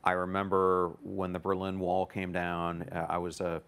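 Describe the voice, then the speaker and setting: A man's voice: low-pitched, monotone, moderate pace, a bit bright, smooth. A man in his fifties hosting an interview, earnestly setting up his questions with context. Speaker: interview host.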